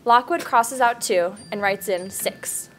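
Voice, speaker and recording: Higher-pitched woman reading stage directions. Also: a little slow, slight background noise.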